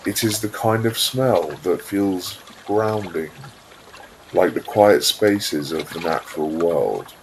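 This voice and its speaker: A man narrating a scripted guided visualisation in a calm, soothing, unhurried way, leaving pauses between phrases. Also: sits low, gravelly, a little hiss or hum, rough recording.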